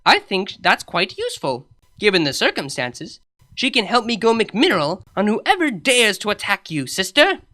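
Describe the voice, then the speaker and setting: A woman's voice: mid-range voice, huge pitch swings, normal speed, sharp tone, pitched above the performer's own voice. A woman voicing a smug younger-sister pony princess in a comic storybook, teasing and self-satisfied, with a dry manner.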